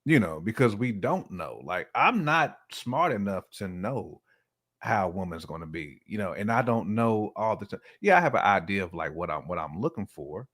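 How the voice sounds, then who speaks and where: A man's voice: lowish voice, very animated, moderate pace, fairly bright tone. A man chatting casually and slangily, often acting out imagined quotes as he gives relationship opinions. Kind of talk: casual conversational chat.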